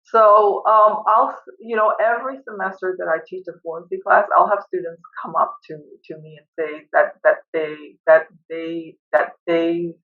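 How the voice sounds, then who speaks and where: A woman's voice: fairly low pitch, normal speed, few pauses. A woman professor on a panel who stutters, repeating words and sound starts, speaking firmly and directly over a video call. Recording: tinny phone-like line.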